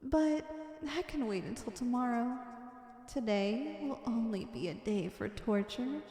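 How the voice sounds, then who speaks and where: Villainess, played by a woman, fairly high voice, wicked and obsessively devoted, ornate theatrical monologue for ASMR roleplay, reverberant hall sound. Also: animated, unhurried, dark tone. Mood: eager, devoted. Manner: grandiose, ornate, fervent delivery. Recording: echoey, long reverberant hall effect.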